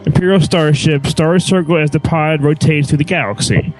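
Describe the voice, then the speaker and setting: A man's voice: a little high, fairly even intonation, normal speed, a little mellow, faint music behind, poor audio. A man co-hosting a podcast with casual banter and silly, crude humor. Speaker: podcast co-host.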